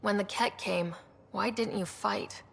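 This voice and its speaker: Young female explorer, assured, direct, plainspoken, touch of fry, game cutscene, long reverb. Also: mid-range voice, fairly even intonation, unhurried, a bit bright, heavily acted. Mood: curious, frank. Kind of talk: video game cutscene acting.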